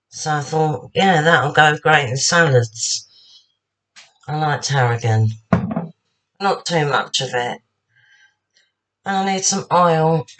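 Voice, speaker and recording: A woman, slightly husky and tired, chatting casually to herself while making a salad at home. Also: low-pitched, fairly bright tone, low-quality recording. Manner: chatty, rambling, talks to herself while working. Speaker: home cook. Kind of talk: casual cooking demonstration.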